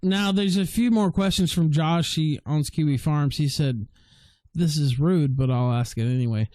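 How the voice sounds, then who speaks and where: Higher-pitched man hosting a casual livestream. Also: moderate pace, warm tone, no room sound. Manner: sweary, informal, chuckling. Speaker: livestream show host. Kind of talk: casual live interview, reading viewer questions.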